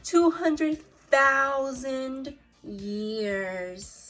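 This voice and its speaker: A woman's voice: high voice, expressive, extremely slow, a bit bright, soft background music. A woman teaching young children through a read-aloud lesson, reading from a book and prompting pupils with questions and short instructions. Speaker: second-grade teacher.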